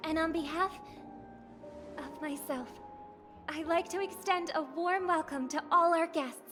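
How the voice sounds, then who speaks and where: An actress playing a poised young singer idol who gives a measured, formal, gracious ceremonial speech in a game. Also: female voice, extremely high pitch, music in the background.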